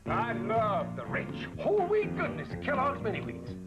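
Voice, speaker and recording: A man in an old cereal commercial with a high voice. He speaks in animated swings of pitch over loud music. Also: normal speed, highs cut off.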